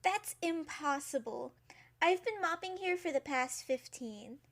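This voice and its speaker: A woman playing a nosy, high-voiced castle maid. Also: lively intonation, unhurried, fairly bright tone, heavily acted. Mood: suspicious. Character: nosy, sharp-tongued young female castle maid. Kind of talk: audio drama acting.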